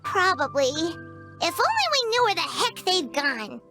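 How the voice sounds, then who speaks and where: Squeaky, very high-pitched little fairy girl. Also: sing-song, a little slow, over music, exaggerated voice. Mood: curious. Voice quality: squeaky, childlike. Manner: exclamatory, inquisitive. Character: tiny excitable childlike girl fairy companion. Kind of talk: video game character acting.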